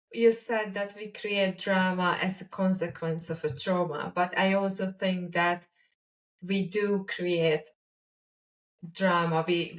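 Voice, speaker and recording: A woman with a Hungarian accent in a reflective conversational discussion as a podcast co-host, speaking deliberately with pauses mid-sentence. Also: fairly low pitch, recorded from a distance, rough recording. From Hungary, a small village.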